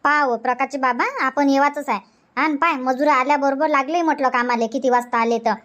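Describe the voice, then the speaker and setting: High-voiced nagging village wife played by a woman. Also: steady tone, a little fast, fairly bright tone. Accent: Varhadi Marathi. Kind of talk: comic cartoon dialogue dubbing.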